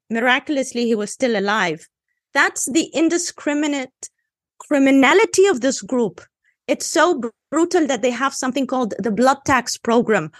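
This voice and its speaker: A woman's voice: high voice, no room sound, studio quality. Emphatic woman with an Egyptian accent. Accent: Egyptian Arabic. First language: Arabic. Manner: emphatic, insistent. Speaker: guest analyst. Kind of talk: panel discussion.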